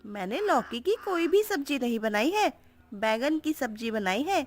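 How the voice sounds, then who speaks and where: A woman's voice: high-pitched, big pitch swings, normal speed. A woman voicing a cheeky, stubborn young daughter-in-law in a cartoon story, talking in a sweet, girlish, wheedling way. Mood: sweetly stubborn.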